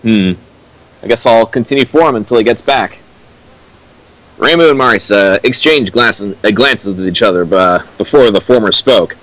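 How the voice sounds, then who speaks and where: A man's voice: fairly low pitch, normal speed, fairly bright tone, no echo, low-quality recording. A man co-hosting a streamed reading, mixing read-out passages with mocking commentary. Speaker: co-host of a fan fiction reading stream.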